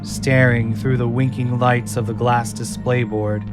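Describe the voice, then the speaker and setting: A man's voice: medium pitch, level tone, measured pace, loud music behind the voice. A man narrating an audio drama in a scripted, dramatic storytelling style.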